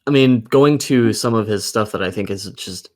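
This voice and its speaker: A man's voice: fairly low pitch, normal speed. A man co-hosting a casual gaming podcast, talking bluntly and cursing heavily.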